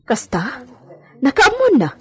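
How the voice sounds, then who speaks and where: A woman's voice: high voice, huge pitch swings, takes it slowly, fairly bright tone, slightly rough, heavily acted. A woman acting a stern, scolding older female household servant in an Ilocano radio drama. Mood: curious, worried.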